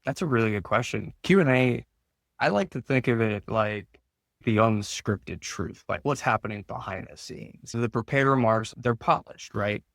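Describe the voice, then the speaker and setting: A man's voice: mid-range voice, expressive, normal speed, vocal fry, a bit bright, no room sound, clean studio sound. A man giving informal, explanatory answers as a finance expert in an interview.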